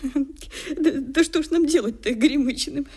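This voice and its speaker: A woman's voice: high-pitched, measured pace, a little mellow. An actress playing a poor old Russian woman in a radio drama, with folksy, wailing, coaxing speech, scolding yet tender. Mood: tearful lament. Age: older.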